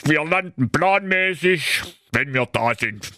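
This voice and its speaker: A man's voice: fairly high pitch, lively intonation, slow pace, pushed and bright, dry recording, clean studio sound, pitched above the performer's own voice, heavily acted. A man voicing a sly wolf who poses as an airline pilot, making a hesitant, bumbling announcement in a comic fairy tale. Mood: smug, rambling. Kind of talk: comedic fairy-tale storytelling.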